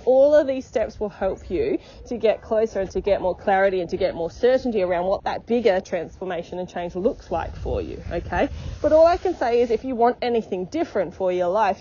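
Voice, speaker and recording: A woman's voice: medium pitch, mellow, highs cut off, slight background noise. A woman life coach giving an encouraging, repetitive motivational podcast talk, often checking in with "okay?".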